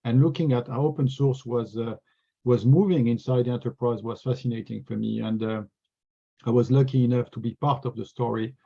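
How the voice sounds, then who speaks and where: A man's voice: mid-range voice, vocal fry, dull audio. A man from France with a French accent, an executive answering interview questions earnestly and often repeating words as he thinks, heard over a video call. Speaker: regional sales executive.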